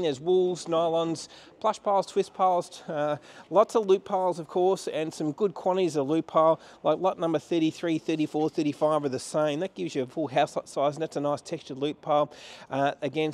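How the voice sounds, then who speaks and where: A man's voice: fairly high pitch, a little mellow. A man giving an unscripted, matter-of-fact sales walkthrough as an auction house presenter, walking about a warehouse.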